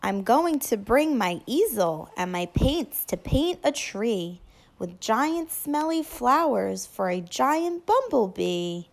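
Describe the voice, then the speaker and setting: Female teacher, fairly high voice, very lively intonation, deliberate child-directed picture book reading. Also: vocal fry. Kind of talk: expressive picture book read-aloud.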